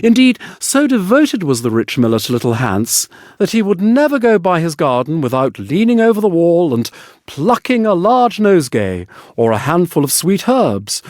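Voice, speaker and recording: A man narrating an audiobook fairy tale in an expressive, scripted storybook style. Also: high-pitched, moderate pace, no room sound, studio quality.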